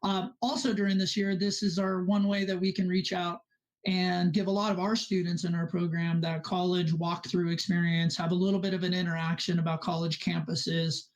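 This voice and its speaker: A man's voice: sits high, flat delivery, normal speed. A man hosting an online interview, chatty and rambling as he introduces his guest. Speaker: host, robotics club teacher.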